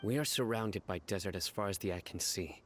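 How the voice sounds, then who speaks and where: A man playing a stern, vigilant young investigator in a video game, speaking in a serious, measured way. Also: low-pitched, faint music behind, lightly acted. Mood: serious, suspicious.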